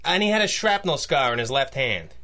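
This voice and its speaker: An actor playing a guarded working-class delivery clerk, hesitant and colloquial, in an old-style radio drama. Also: male voice, medium pitch, big pitch swings, brisk, pushed and bright. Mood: hesitant, helpful.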